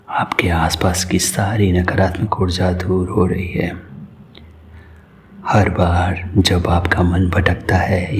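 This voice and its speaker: Slow, deep-voiced man guiding meditation. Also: slight background noise. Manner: calm, soothing, unhurried instruction. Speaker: meditation guide. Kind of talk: guided meditation narration.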